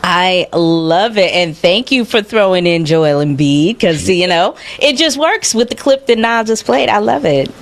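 A woman's voice: fairly low pitch, unhurried, few pauses, a little hiss or hum. A woman hosting a radio show, enthusiastic and encouraging as she chats and greets listeners. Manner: enthusiastic, encouraging, reads listener chat aloud. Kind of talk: casual radio chat.

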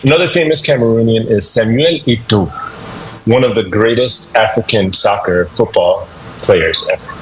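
A man's voice: on the low side, moderate pace, poor audio. A man working as a French teacher, explaining things conversationally in an encouraging, instructive way, with noticeable background noise. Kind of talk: conversational language lesson.

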